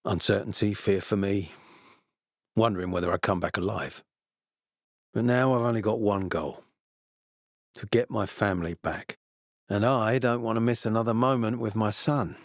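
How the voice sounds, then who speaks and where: Gruff, weary army officer for a video game, male actor: low, dry close sound, measured and authoritative. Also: fairly even intonation, no echo, close to an everyday voice. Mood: weary, regretful. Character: gruff, weary military officer, commanding, later a regretful father.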